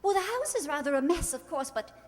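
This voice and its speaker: A woman's voice: high voice, sing-song, fairly quick. A woman acting a haughty, gushing society bride in a radio play, with a grand, affected manner. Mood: gushing, arch. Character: haughty, sharp-tongued society bride playing gushing hostess. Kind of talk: radio play acting of a 1940s screwball comedy. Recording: reverberant hall stage recording.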